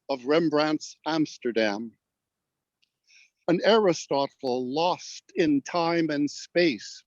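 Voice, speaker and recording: A man speaking formally and deliberately as a poetry reader, heard over a video call. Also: a little high, dry recording. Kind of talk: measured poem recitation, then thoughtful comment. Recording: dull, lo-fi call audio.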